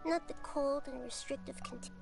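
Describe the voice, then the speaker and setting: A woman voicing a gentle, wise little girl goddess in a video game, speaking in a thoughtful, earnest and childlike way. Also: high-pitched, fairly even intonation, moderate pace, vocal fry, music in the background. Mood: thoughtful, serene. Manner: thoughtful, earnest, softly instructive.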